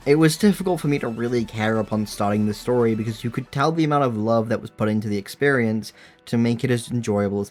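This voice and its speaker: A man narrating a scripted video essay in an earnest, enthusiastic manner, with casual asides. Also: mid-range voice.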